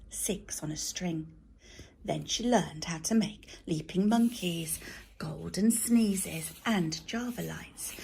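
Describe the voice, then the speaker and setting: A woman reading a children's story aloud as a teacher, in an expressive narrating style. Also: on the low side, vocal fry, husky.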